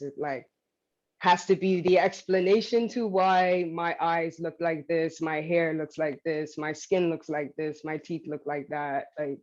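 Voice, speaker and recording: A woman's voice: sits low, a little mellow, dull audio. A woman speaking as a panelist who rambles and laughs often between thoughts. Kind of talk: panel discussion.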